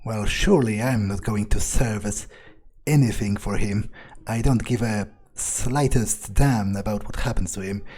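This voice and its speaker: Man playing a mellow, cynical vampire knight. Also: fairly low pitch, unhurried, husky. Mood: dismissive. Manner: hesitant, muttering, dismissive. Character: cynical, self-serving male vampire knight, aloof, crude. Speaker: gamer roleplaying his character. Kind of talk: in-character game commentary, improvised.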